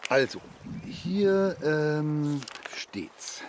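A man's voice: fairly high pitch, animated, very slow, slight background noise. An actor playing a timid, hesitant foster father who stammers and evades in a children's radio play. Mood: nervous, reciting.

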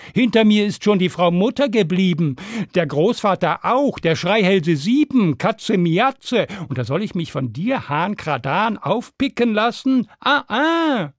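A man's voice: high voice, a bit bright, no room sound, clean studio sound, pitched above the performer's own voice, full character voice. A man voicing a cheeky, boastful runaway pancake in a storybook tale, indignant and singsong.

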